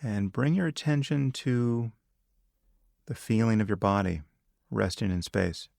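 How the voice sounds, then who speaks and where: A man leading a guided meditation, giving calm, measured instructions with long pauses. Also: on the low side, creaky, no echo, studio quality.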